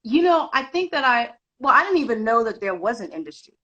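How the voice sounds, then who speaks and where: A woman's voice: on the high side, very animated, a little fast, a bit bright, muffled recording. A woman from Zimbabwe, a guest actor and director, telling her story in a podcast interview with frequent restarts.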